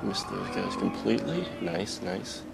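Very low-voiced man doing casual game commentary. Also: expressive, unhurried, creaky, heavy background noise. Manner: self-deprecating. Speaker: let's play host. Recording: lo-fi home recording over game audio. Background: game music and sounds.

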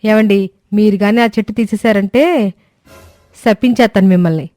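A woman's voice: mid-range voice, normal speed, mellow, dry recording, faint music behind, lightly acted. A woman voicing a cheerful but stubborn young village daughter-in-law in a cartoon story, earnest and pleading. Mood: defiant. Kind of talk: cartoon moral-story voice acting.

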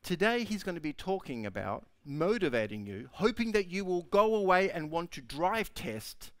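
A man's voice: on the high side, dry recording. Upbeat male moderator, dry sound. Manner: upbeat, emphatic. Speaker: conference moderator. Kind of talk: introducing a speaker.

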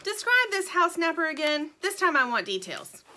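A woman's voice: extremely high pitch, big pitch swings, moderate pace, bright, forceful tone, a little hiss or hum, voice pushed up, exaggerated voice. A woman voicing a bossy, sarcastic female chicken who snaps and wisecracks in a children's story. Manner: snappy, wisecracking.

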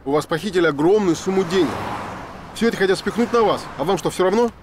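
A man's voice: sits high, fast, lightly acted. A man in his forties playing a persistent, inquisitive theatre director in a naturalistic screen drama. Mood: insistent, agitated. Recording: film dialogue over street and car noise.

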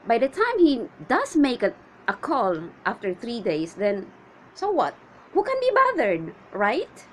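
A woman performing a comedic monologue as a needy, chatty woman waiting on a suitor, with theatrical exasperation and drawn-out questions. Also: a little high, wildly swooping pitch, slight background noise, rough recording. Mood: indignant.

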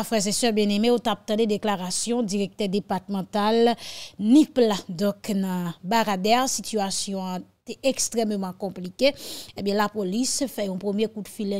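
Emphatic Haitian woman, fairly high-voiced. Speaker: news show host. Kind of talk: news commentary to audience. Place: home studio. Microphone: close desk microphone on boom arm.

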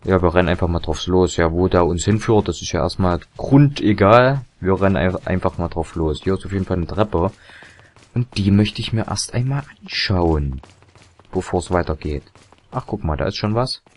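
A young man commentating a video game, chatty and rambling with fillers and self-corrections, his voice a little hoarse and tired. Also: low voice, moderate pace. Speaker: let's play commentator. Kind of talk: casual gameplay commentary.

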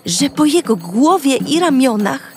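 A woman's voice: high-pitched, sing-song, a little slow, a little mellow, soft background music. A Polish-accented woman telling a children's audio story in the first person as a cheerful young girl.

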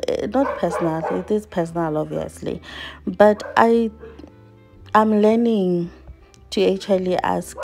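A woman's voice: on the low side, mellow. A woman from South Africa in her thirties, chatting earnestly to her viewers while washing up in her home kitchen. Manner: earnest, reflective, speaks while washing dishes. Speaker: vlogger. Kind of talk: casual chat to viewers while doing chores.

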